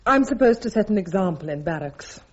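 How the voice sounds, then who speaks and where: An actress playing a wry, self-possessed British army captain with a dry, ironic manner in a radio drama. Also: female voice, medium pitch, lively intonation, brisk, a little mellow, breathy edge. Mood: dry, teasing. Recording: dull lo-fi radio drama recording.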